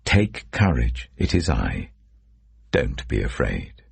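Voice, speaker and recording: Guy doing a solemn commanding holy teacher, super low gravelly voice, deliberate, audio bible style. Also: wildly swooping pitch, no room sound, heavily acted. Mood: calm, firm. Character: solemn, authoritative male religious teacher in scripture.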